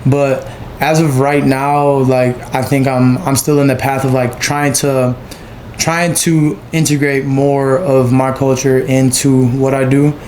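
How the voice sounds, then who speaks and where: A man's voice: medium pitch, level tone, unhurried, darkish tone, slight background noise. A man, a photographer, talking as a podcast guest in a rambling casual way, leaning on fillers and tag questions. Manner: rambling, many fillers and 'you feel me'. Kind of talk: casual chat. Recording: echoey room.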